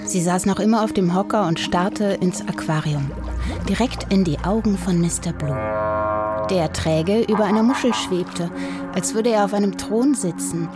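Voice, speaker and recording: A woman's voice: medium pitch, normal speed. Woman narrating a children's story over music. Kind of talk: scripted storytelling for a children's radio play.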